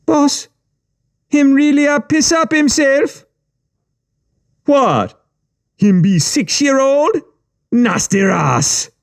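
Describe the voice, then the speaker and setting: A man's voice: very high-pitched, lively intonation, very slow, creaky, long pauses, pitched above the performer's own voice, full character voice. A man voicing jeering young male gang thugs who mock and taunt. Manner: mocking, taunting.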